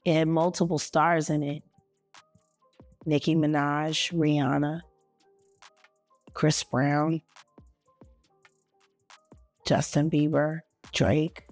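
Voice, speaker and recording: A woman's voice: deep voice, level tone, takes it slowly, long pauses, a little mellow, faint music behind. A woman talking casually in a plain, conversational way.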